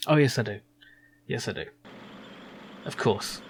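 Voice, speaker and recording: A man chats casually through a video game he is playing. His pitch is average, and he muses aloud with a wry touch. Clear background noise sits behind his voice. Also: unhurried. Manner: musing, wry, thinking aloud. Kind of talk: casual let's play commentary.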